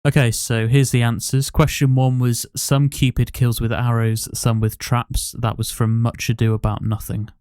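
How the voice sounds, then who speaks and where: A man's voice: mid-range voice, level tone, normal speed, few pauses, a little mellow, clean tone, no room sound, clean studio sound. A man hosting a casual podcast quiz, reading out questions and answers. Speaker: podcast host and quizmaster.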